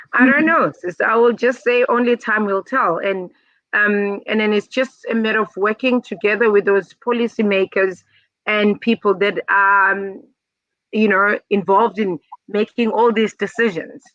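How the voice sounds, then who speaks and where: A woman's voice: medium pitch, steady tone, measured pace, fairly bright tone, no room sound. A woman speaking at an online youth summit, hesitant and full of fillers and repeats, over a video call. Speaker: summit participant. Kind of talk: casual online discussion. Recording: dull dry video-call audio.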